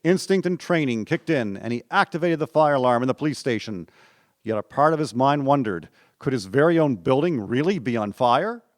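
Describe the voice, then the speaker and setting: A man's voice: medium pitch, expressive, fairly quick, dry recording, clean studio sound. A man reading scripted narration for a radio drama. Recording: music under the voice. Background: instrumental music.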